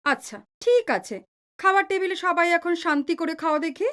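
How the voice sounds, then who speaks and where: A woman's voice: very high voice, expressive, moderate pace, bright, forceful tone, exaggerated voice. A woman playing a caring middle-aged mother who soothes and mildly chides her family in an audio drama. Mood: gently scolding. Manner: soothing, mildly chiding, homely. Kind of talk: Bengali audio drama acting.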